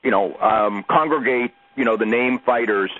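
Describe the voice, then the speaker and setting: Husky, halting man on tinny phone. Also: mid-range voice, fairly bright tone. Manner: halting, repeats words, rambling. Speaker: sports reporter. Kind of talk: spontaneous press questioning. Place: conference call over phone. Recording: tinny telephone conference line.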